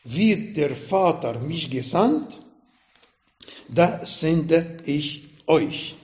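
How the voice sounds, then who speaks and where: Slow, emphatic Arabic-accented male preacher. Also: animated, darkish tone, roomy sound. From Middle East. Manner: slow, emphatic preaching with dramatic rises in pitch. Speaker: pastor. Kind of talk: sermon.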